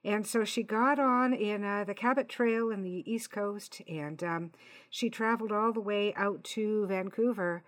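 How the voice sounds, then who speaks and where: Casting: middle-aged Canadian woman, interview host, friendly chatty delivery, mid-pitched. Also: a little slow. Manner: chatty, agreeable, laughs often. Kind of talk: casual interview chat.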